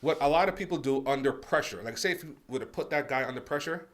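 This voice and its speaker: A man from New York on a podcast, telling stories in a casual, halting way, with many restarts. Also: a little high. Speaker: podcast co-host.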